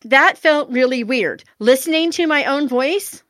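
A woman's voice: high voice, a little slow, a bit bright, no echo, studio quality. A woman in her forties with a nasal voice, chatting candidly and self-deprecatingly as an author being interviewed. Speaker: author guest. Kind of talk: casual interview answers.

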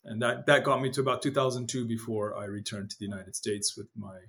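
A man in his forties from East Africa, born in Ethiopia and raised in Kenya, whose first language is Amharic, giving measured, reflective answers as an interview guest. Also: fairly low pitch, level tone, a bit bright. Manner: measured, reflective, with frequent restarts.

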